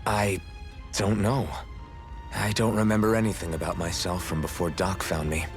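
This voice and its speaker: A man's voice: low-pitched, little pitch movement, moderate pace, lightly acted. An actor playing an earnest young swordsman in a video game, guarded, questioning and understated. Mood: subdued, sincere. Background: background music.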